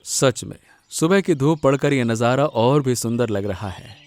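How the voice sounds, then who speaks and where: A man playing a devoted, admiring young son in a Hindi cartoon village story, warm and encouraging. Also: mid-range voice, expressive, unhurried, soft background music, natural voice.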